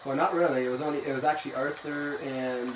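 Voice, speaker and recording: A man's voice: medium pitch, steady tone, fast, over a noisy crowd. A young man hosting a gaming video, chatting casually and rambling, playfully bossy about the plan. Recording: echoey room, mic far, noisy.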